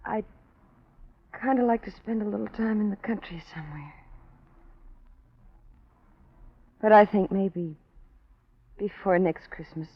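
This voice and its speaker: A woman's voice: medium pitch, normal speed, breathy edge. An actress playing a world-weary, guarded young nightclub woman in a 1940s radio drama, speaking hesitantly and wistfully. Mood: wistful hope. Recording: dull 1940s radio recording.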